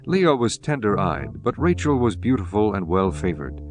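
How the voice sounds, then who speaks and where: A man narrating scripture in a measured, reverent manner. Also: fairly low pitch, very animated. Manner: measured, reverent, archaic diction. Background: guitar music.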